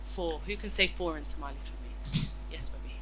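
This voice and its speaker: A woman's voice: low-pitched, fairly quick, fairly bright tone, rough recording. Stuffy-nosed woman teaching children. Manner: encouraging, asks questions and praises. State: stuffy nose from a cold. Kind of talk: interactive lesson with children.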